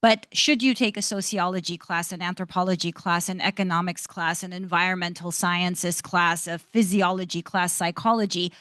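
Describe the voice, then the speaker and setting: A woman panelist addressing students with articulate enthusiasm. Her pitch sits in a middle range, and the sound is dry and close, with no room echo. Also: a bit bright. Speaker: institute director, panelist. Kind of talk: panel discussion answer. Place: lecture hall panel event.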